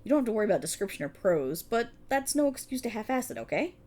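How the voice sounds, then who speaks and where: Woman acting a prickly, animated female police officer. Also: a little high, quick pace. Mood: wry, encouraging. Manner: wry, bossy, explanatory, emphatic. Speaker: voice actor in audio roleplay. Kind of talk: scripted ASMR roleplay, one-sided dialogue.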